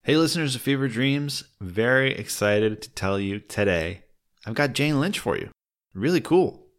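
A man's voice: mid-range voice, expressive, normal speed. A man hosting a podcast, introducing a show in a casual, informal and enthusiastic way.

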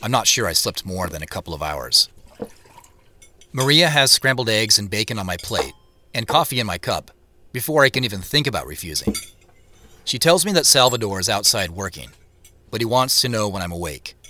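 A man's voice: lowish voice, sing-song, fairly quick, sharp tone, a little hiss or hum, full character voice. An actor playing a troubled, weary younger brother who tells his story in an understated, reflective way in an audio drama. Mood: tired, reflective. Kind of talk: first-person audio drama narration and dialogue.

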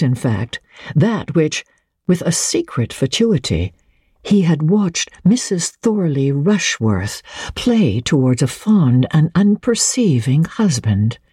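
A woman narrating classic literature as a dramatic reading, with measured, expressive and deliberate phrasing. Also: deep voice, breathy edge, no echo, studio quality. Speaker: audiobook narrator.

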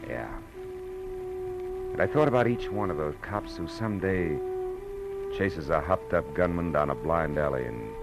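A very deep male voice as a world-weary private eye, brooding and clipped. He narrates his case in old radio noir fashion, with prominent music behind him. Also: gravelly, poor audio. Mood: somber, reflective. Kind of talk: 1940s radio acting, first-person noir narration. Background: dramatic music.

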